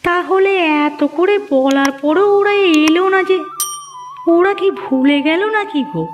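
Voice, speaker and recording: A woman's voice: extremely high pitch, unhurried, muffled recording, soft background music. A woman playing an anxious, fretful young village wife in a fairy-tale cartoon. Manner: fretful, questioning, melodramatic.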